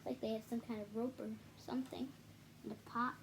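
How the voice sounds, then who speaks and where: A woman's voice: on the high side, level tone, brisk. A woman reading a storybook aloud, stumbling over and repeating words, with casual asides. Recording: noisy home recording.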